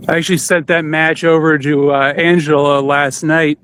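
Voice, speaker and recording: A man with a somewhat high voice hosts a podcast. He talks casually, in an easy back-and-forth conversational style. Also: little pitch movement, normal speed, a bit bright, smooth. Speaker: podcast host.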